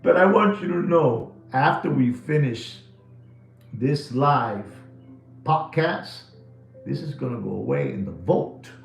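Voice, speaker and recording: A New man in his sixties rambles through a live watch podcast, cracking jokes and slinging slang. His voice sits at a middle pitch with a gravelly, creaky edge. The room is echoey, with faint music playing in the background. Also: takes it slowly. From New York City. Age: 60s. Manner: animated, jokey storytelling with slang.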